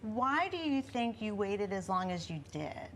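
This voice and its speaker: A woman's voice: medium pitch, sing-song, a little slow, heavy background noise. A woman co-hosting a TV talk segment, asking curious, probing questions. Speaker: TV co-host. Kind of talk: TV talk interview.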